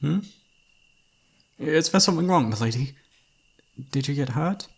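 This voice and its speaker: A man's voice: a little high, expressive, unhurried, creaky, breathy edge, full character voice. A man playing a devoted young knight in a romantic fantasy ASMR roleplay, speaking in a courtly yet playfully teasing manner. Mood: gentle, caring. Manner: formal, courtly, playfully teasing, soft-spoken sighs.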